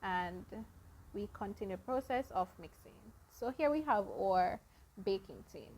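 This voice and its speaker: A woman hosting a casual cooking vlog, explaining each baking step to her viewers in an unhurried way. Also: mid-range voice, expressive, a little mellow, slight background noise. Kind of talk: casual baking demonstration narration.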